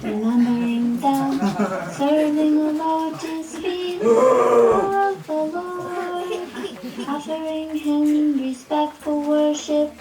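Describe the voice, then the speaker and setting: A woman playing a pious, serene young prince in a devotional puppet show, reciting solemnly and reverently. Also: high-pitched. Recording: echoey, distant, music underneath. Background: music.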